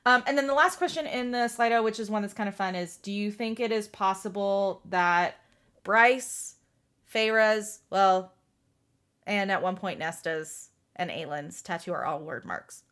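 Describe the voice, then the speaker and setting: A woman's voice: medium pitch, measured pace, fairly bright tone. Woman hosting a casual book chat. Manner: informal, says "like" often. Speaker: book discussion host. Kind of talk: casual group discussion.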